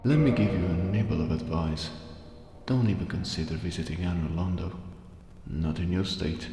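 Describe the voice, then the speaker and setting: A man's voice: low voice, moderate pace, gravelly, mellow, faint music behind, voice pushed down. A man voicing a bitter, crestfallen undead merchant from a fantasy game, sardonic and grumbling in manner. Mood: bitter, despairing. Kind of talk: fan voice acting of game dialogue. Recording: echoey long reverb, faint noise.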